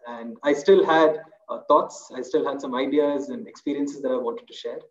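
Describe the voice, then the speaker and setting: A man's voice: mid-range voice, normal speed. A man in his thirties, an author speaking on a video call in a small room, thoughtful and loose, with many fillers and restarts. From India. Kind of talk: webinar talk. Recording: roomy, dull video call audio.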